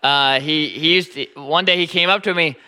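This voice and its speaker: A man in his thirties with a Nebraskan accent, preaching a sermon in a halting, informal way that repeats words often. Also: a little high, sharp tone, smooth, long reverb tail. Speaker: pastor.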